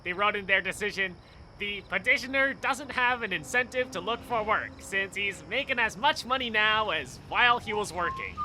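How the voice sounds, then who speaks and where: Bitter veteran on crutches: high, piercingly bright, exasperated and sarcastic, radio play with faint outdoor ambience. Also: male voice, wildly swooping pitch, moderate pace, slight background noise, full character voice, poor audio. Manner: indignant, sarcastic complaining.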